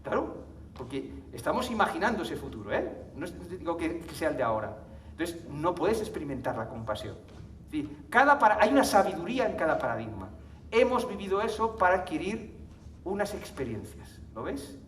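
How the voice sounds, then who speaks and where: A man's voice: a little high, sing-song, brisk, fairly bright tone, a little hiss or hum. A man from giving a live conference talk to an audience, chatty, often checking in with tag questions and laughing. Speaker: conference speaker. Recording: echoey hall, mic from far off.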